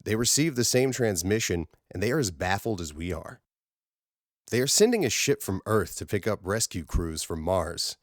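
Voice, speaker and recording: A man's voice: fairly low pitch, sing-song, normal speed, no echo, studio quality. Man playing a worried, frustrated space-station colleague. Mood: concerned.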